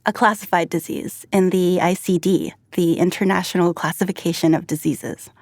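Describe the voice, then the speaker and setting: Female co-host, lower voice, measured explainer, dry studio sound. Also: fairly even intonation, vocal fry, darkish tone, dry recording. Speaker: staff writer and co-host. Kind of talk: podcast conversation.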